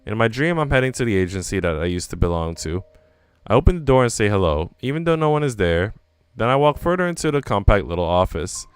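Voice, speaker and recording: A man's voice: on the low side, big pitch swings, fast talker, clean tone, dry recording. A man voicing an anxious young woman who narrates her troubles in a visual novel, dramatic and self-questioning. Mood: reflective. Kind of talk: visual novel first-person reading.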